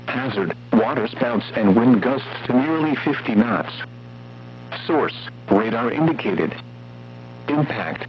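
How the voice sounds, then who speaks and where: A man's synthetic-sounding voice reads scripted weather warnings as a radio announcer, in a formal, measured way. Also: medium pitch. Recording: muffled weather radio broadcast, noisy hum.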